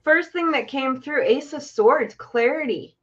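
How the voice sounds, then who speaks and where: A woman's voice: high voice, unhurried, highs cut off. A woman hosting a live tarot reading, chatting her way through the cards with lots of "you know". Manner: chatty, explains cards with filler words. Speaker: psychic tarot reader, host.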